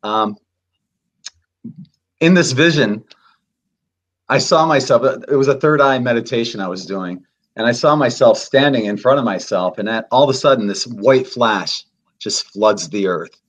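A man in his late fifties speaks on a video call in an earnest, steady way. He explains at length and leans on repetition to drive his points home. His voice sits in an ordinary middle range for a man. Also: mid-range voice, normal speed, long pauses. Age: 50s. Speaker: guest. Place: indoor room.

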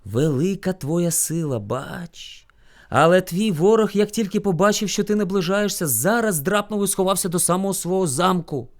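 A man voicing a small, sly hare in a folk tale, servile, meek and flattering as he pleads with his lord. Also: sits high, normal speed, lightly acted. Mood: coaxing. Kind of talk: animated folk tale storytelling.

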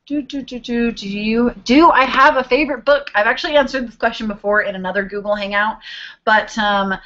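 A woman's voice: a little high, a little fast, a bit bright, lo-fi sound. Chatty young woman on a webcam. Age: 20s. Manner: chatty and rambling, hums while searching. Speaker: vlogger answering viewer questions. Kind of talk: casual chat. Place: small bedroom, indoors. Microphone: webcam video call.

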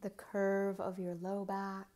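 A woman leading a guided body scan meditation in a gentle, unhurried manner, with soft, breathy phrase endings and long pauses between short phrases. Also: medium pitch, monotone.

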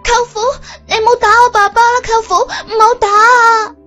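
A woman voicing an excitable, pleading small girl in a scripted audio story. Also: very high-pitched, moderate pace, soft background music. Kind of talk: scripted audio drama dialogue.

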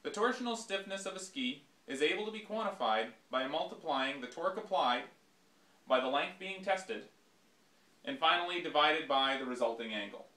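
Bright-voiced young man explaining in a workshop. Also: fairly high pitch, lively intonation. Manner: measured, explanatory, reads from a script. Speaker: ski company presenter. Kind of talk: scripted product demonstration. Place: small indoor workshop. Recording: echoey workshop room.

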